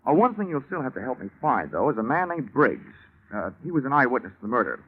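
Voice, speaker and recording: An actor playing a hard-boiled American insurance investigator in an old-time radio drama, wry, slangy and terse. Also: male voice, medium pitch, big pitch swings, fast, slightly rough. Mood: brisk, urgent. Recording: muffled vintage radio recording.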